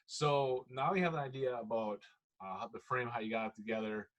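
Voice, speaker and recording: A podcast host, chatting casually, laughing often and drifting off topic. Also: male voice, medium pitch, brisk, fairly bright tone. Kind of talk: casual chat.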